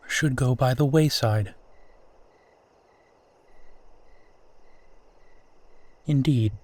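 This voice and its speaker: A man playing a suave, centuries-old vampire in a roleplay audio drama, speaking formally, with old-fashioned courtesy and gentle teasing. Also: mid-range voice, no echo, close to an everyday voice. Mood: wistful, courtly. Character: suave, courtly centuries-old male vampire who looks twenty-eight.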